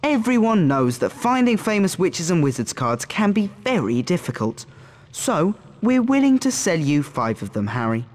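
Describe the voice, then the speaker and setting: A scheming schoolboy from a video game, makes persuasive pitches. The voice is high and very animated, with a long reverberant tail. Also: male voice, normal speed.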